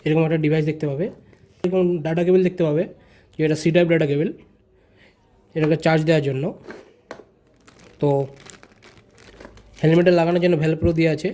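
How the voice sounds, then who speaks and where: Fast, high-pitched man from India. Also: flat delivery, dark tone. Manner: informal, repeats phrases, addresses viewers directly. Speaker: vlogger presenting a tutorial. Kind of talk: casual step-by-step product tutorial.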